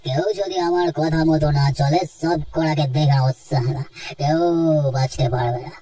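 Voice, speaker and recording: A woman voicing a thuggish, cowardly male village villain in a horror cartoon, rough-talking and prone to stammering. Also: deep voice, moderate pace, mellow. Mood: boastful, threatening. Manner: swearing, stammering in fright. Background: music.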